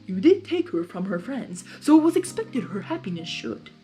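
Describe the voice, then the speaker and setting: A woman voicing a female zebra sage in a story, speaking in measured rhymes as she gives advice. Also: mid-range voice, big pitch swings, mellow, faint music behind, lightly acted. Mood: matter-of-fact.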